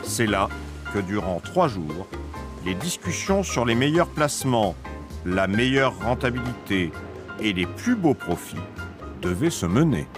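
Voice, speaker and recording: A man reading a scripted documentary narration in a measured, grave manner. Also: on the low side, very animated. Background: music under the voice.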